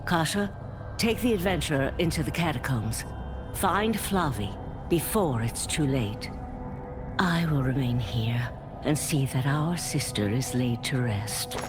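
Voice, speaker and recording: A woman's voice: a bass voice, lively intonation, unhurried. An actress playing a grave, commanding female leader of a sisterhood in a video game, solemn and authoritative. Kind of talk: dramatic video game voice acting. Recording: music under the voice.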